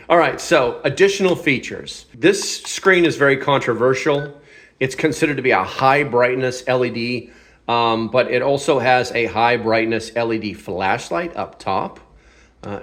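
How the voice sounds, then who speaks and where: A man's voice: mid-range voice, animated, unhurried, fairly bright tone. A man hosting a casual hands-on product review, talking in an informal, off-the-cuff and self-deprecating way. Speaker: host of a gear review channel.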